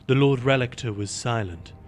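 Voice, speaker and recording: A man's voice: medium pitch, moderate pace, soft background music. A man reading scripted narration as the storyteller of a fantasy audio drama.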